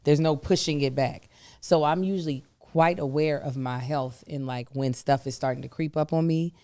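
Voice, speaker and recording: A woman podcast co-host talking casually with a hoarse voice, candid and exasperated. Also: sits low, steady tone, normal speed, mellow, no echo. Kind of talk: casual chat.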